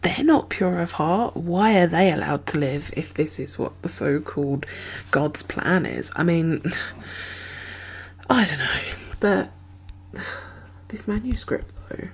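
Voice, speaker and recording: A woman playing an anxious, caring zombie apocalypse survivor in a soft-spoken, half-whispered ASMR roleplay, hesitant and musing, with breathy sighs. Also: fairly low pitch, animated, slow pace, full character voice. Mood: troubled, indignant.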